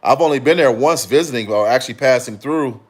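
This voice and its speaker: A man from Chicago hosting a casual livestream, answering comments in a relaxed, rambling way with frequent fillers and restarts. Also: mid-range voice, fairly quick, breathy edge. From Chicago, Illinois. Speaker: livestream host.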